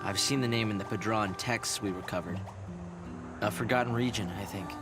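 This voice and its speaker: An actor playing an earnest young male research leader in a video game, speaking in a measured, polite, explanatory way. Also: on the low side, natural voice. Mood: earnest, reflective. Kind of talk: video game English dub acting. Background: loud music.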